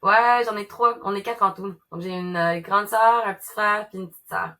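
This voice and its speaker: A woman from Ottawa answers interview questions in a chatty, casual manner, with fillers along the way. Her voice is bright and crisp, at an ordinary pitch for a woman. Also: steady tone, normal speed. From Canada, Ottawa. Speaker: guest, guitar teacher.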